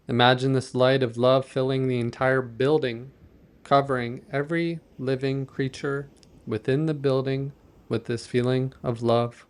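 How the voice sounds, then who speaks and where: A man leading a guided meditation in a gentle, measured, softly instructive manner. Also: medium pitch.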